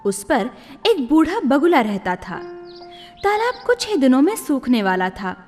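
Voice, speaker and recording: A woman storyteller reading scripted children's tales in a deliberate, expressive manner. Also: high-pitched. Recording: long reverb, music behind.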